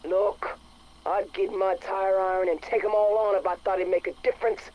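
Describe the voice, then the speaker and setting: An actor playing a blunt, world-weary biker friend, pleading and resigned, in a game audio drama. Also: male voice, high-pitched, flat delivery, normal speed, muffled recording, lightly acted. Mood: urgent, blunt. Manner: blunt, pleading, rough-edged. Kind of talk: game radio drama dialogue.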